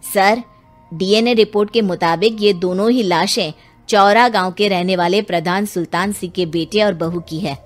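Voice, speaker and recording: A woman plays a diligent police inspector in a cartoon moral tale. The mid-pitched voice is earnest and deferential. Music runs behind it. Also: moderate pace, lightly acted. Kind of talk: Hindi animated moral story dialogue.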